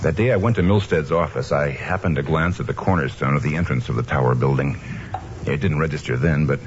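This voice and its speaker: Deep-voiced, steady radio investigator. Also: male voice, a little fast. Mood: explaining. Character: steady, principled male investigator narrating his own case. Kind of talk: old-time radio mystery narration and dialogue. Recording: dull noisy old radio recording.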